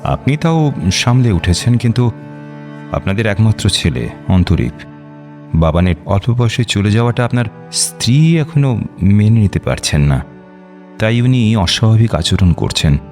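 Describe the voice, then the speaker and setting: An actor playing a calm male psychiatrist in a radio drama, reassuring and explanatory in manner. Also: fairly low pitch, normal speed, long pauses, faint music behind.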